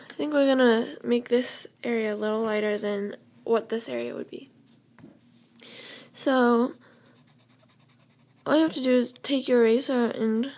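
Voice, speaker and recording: A young woman with a slightly hoarse voice, chatting loosely through a step-by-step drawing tutorial while she sketches. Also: a little high, darkish tone. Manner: chatty, loose, with fillers and self-corrections. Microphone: camera over the drawing paper, speaker off frame.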